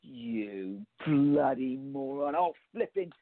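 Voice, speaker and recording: A man's voice: medium pitch, lively intonation, takes it slowly, no echo. A man playing a nervous, exasperated young bachelor in a farce radio play, flustered and sarcastic, on muffled, narrow-band audio.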